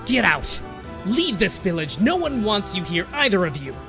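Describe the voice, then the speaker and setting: A man voicing a cruel young boy bully who taunts and shrieks, his voice pushed far above the reader's own. He whines and yells loudly. Soft music sits under the reading. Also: high-pitched, wildly swooping pitch, normal speed, pitched above the performer's own voice, full character voice. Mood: angry.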